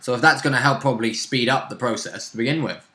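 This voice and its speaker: Male tabletop player, informal and chipping in, crisp-edged bright voice, noticeable room echo. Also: medium pitch, fast. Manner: informal, interjecting. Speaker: player in a tabletop role-playing game. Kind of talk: casual chat, recounting game events. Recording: echoey room.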